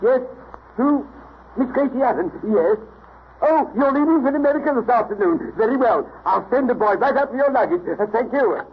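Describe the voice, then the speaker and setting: Fussy room clerk: polite, hesitant, very high pitch, muffled vintage comedy recording. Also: male voice, animated, moderate pace. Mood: polite, flustered. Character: polite, flustered English hotel room clerk. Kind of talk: old radio comedy acting. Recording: muffled, noisy old radio recording.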